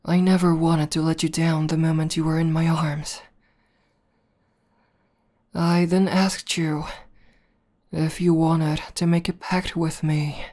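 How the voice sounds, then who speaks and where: A woman performs a battle-hardened tomboy demoness in an intimate ASMR roleplay. Her voice is lowish and dark, with very little rise and fall. She speaks softly and breathily, halting often, like a devoted lover being earnest. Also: flat delivery. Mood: vulnerable, earnest. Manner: soft, breathy, halting and earnest delivery, often dropping to whispers.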